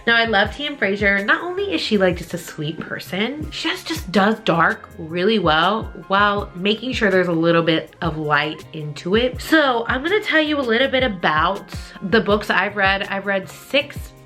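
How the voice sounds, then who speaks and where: A woman's voice: medium pitch, lively intonation, moderate pace, few pauses, bright, forceful tone, soft background music. A woman talking casually to viewers as a book vlogger, in an informal, conversational way with frequent hedging asides. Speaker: book reviewer video host. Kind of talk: casual chatty vlog talk to viewers.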